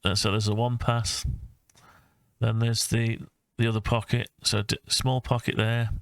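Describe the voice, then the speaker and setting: A man talking through his own design project informally, thinking aloud with hesitant, halting phrases. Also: mid-range voice, little pitch movement, gravelly, no room sound. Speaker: hobbyist maker presenting own design project. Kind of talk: informal screen-recorded CAD design walkthrough.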